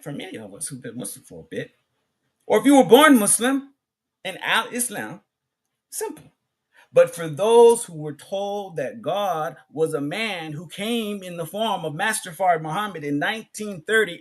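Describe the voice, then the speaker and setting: Male preacher, slightly high pitch, highly varied intonation, emphatic sermon delivery, short phrases with pauses, rhetorical questions, repetition. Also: fairly bright tone, breathy edge. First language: English. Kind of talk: sermon, public religious lecture.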